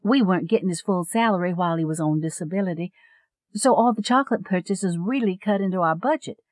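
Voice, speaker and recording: A woman's voice: on the low side, fast talker, a little mellow, dry recording, close to an everyday voice. A woman playing an exasperated, frank and wry estranged wife in an audio drama, talking colloquially. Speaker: voice actor.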